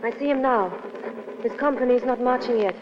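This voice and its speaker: A woman's voice: a little high, a little fast, background noise, close to an everyday voice. An actress playing a subdued, lovelorn woman in a restrained, terse 1930s radio drama style. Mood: sad, restrained. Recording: muffled 1930s radio recording with music.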